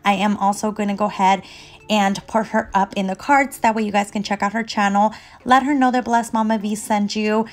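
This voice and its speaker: A woman's voice: mid-range voice, level tone, fairly quick. A young woman with a stuffy, sniffly cold, chatting upbeat to viewers while cleaning her home kitchen. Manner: upbeat, chatty, laughs between phrases. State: stuffy and sniffly with a sore throat. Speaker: cleaning vlogger, mother.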